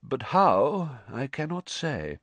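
A man's voice: mid-range voice, wildly swooping pitch, takes it slowly, fairly bright tone, no room sound, voice pushed up, full character voice. A man voicing a pompous, boastful middle-aged wizard teacher in an audiobook, breezy and self-satisfied. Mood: smug. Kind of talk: audiobook character voice.

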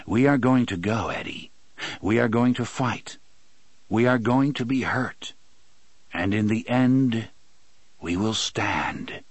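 A man voicing a weary, stern gunslinger who speaks calmly and steadily in a lowered voice. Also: no echo. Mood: calm, solemn. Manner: calm, low, measured. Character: grim, weary older male gunslinger, calm and stern.

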